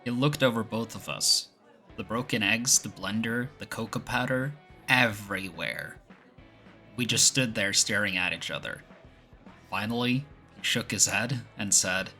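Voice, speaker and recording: A man's voice: fairly low pitch, unhurried, pushed and bright, soft background music. A man acting in an audio roleplay as an easygoing, good-humored young second-in-command of a clan, speaking in a playful, conversational way. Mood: amused, reminiscing.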